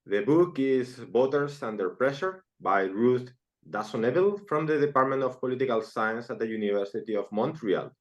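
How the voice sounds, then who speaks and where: A man's voice: mid-range voice, lively intonation, normal speed, highs cut off. A man hosting an academic interview, introducing the guests and asking questions with hesitant repeats. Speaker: interview host.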